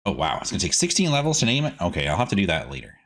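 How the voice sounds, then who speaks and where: Animated man chatting through gameplay. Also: sits low, racing. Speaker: host, streaming gamer. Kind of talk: casual gameplay commentary.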